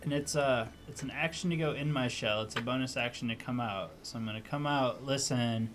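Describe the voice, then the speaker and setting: Guy player thinking out loud, bit hesitant, mid voice, faint hiss. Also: medium pitch, a little fast. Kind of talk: casual chat at a game table.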